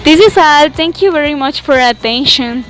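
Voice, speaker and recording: Young woman, Spanish speaker, high voice, halting English, faint music behind. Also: expressive. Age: young adult. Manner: halting English, scripted questions. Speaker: student interviewer. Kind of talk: school interview in English.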